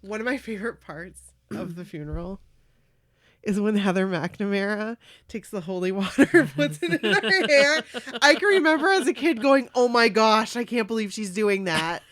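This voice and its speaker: A woman co-hosting a casual podcast, chatty and animated, who is losing her voice. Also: mid-range voice, moderate pace, no echo. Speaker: podcast co-host. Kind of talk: casual chat.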